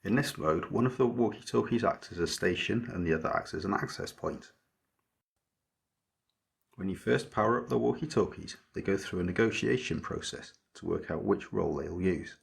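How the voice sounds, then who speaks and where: Deep, gravelly man narrating a tech tutorial. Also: moderate pace. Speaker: maker presenting an electronics project.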